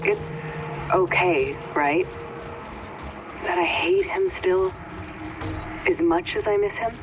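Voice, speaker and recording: High-voiced, blunt young man. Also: takes it slowly, over music, poor audio. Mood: vulnerable. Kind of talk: video game cutscene acting.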